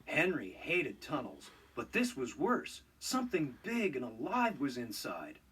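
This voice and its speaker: A man narrating a children's read-along storybook in an expressive, scripted storytelling style. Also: mid-range voice, a little slow, slightly rough, distant mic.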